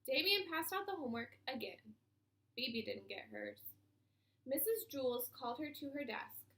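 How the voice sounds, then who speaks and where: A woman narrating a children's story aloud in an expressive read-aloud style. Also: sits high, moderate pace. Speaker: storybook reader.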